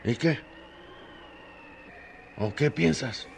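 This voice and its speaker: Man acting gravelly, terse countryman. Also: medium pitch, expressive, very slow, a little hiss or hum, heavily acted. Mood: probing. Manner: clipped, laconic questioning. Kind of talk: radio drama acting.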